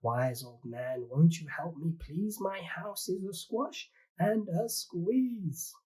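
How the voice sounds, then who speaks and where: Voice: mid-range voice, slow pace, a little mellow, husky, voice pushed up. A reader voicing a fretful little old woman who keeps begging for help with her crowded house, in a pleading, sing-song storybook manner.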